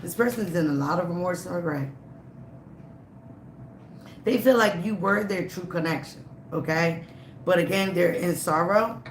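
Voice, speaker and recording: A tarot reader giving a live reading, emphatic and repetitive, slightly raspy from a cold. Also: medium pitch, unhurried, long pauses, reverberant, slight background noise, poor audio. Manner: emphatic, repeats phrases, frequent "okay?" and "all right?".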